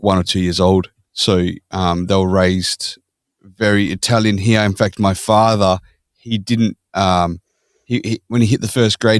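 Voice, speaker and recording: An Australian man with a fairly low voice talks through his life story as a podcast guest. He is easygoing and laughs often. The sound is close and dry. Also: no room sound. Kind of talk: casual interview chat.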